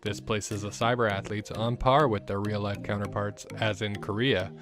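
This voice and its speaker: Low-voiced man narrating over music. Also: level tone, normal speed. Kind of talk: scripted documentary narration.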